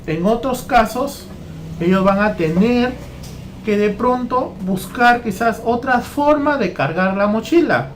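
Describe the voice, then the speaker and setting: A man's voice: very high-pitched, expressive, unhurried, a little hiss or hum, rough recording. Man teaching, keeps checking "ya?". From Peru. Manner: repeats phrases, keeps asking "¿ya?". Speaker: teacher trainer. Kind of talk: explaining a lesson plan.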